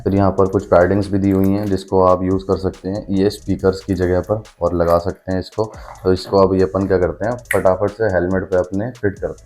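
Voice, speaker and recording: Man, low, even-toned casual demonstrator. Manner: informal, chatty, addresses viewers as brother. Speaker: motorcycle vlogger and product reviewer. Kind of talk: casual hands-on product demonstration.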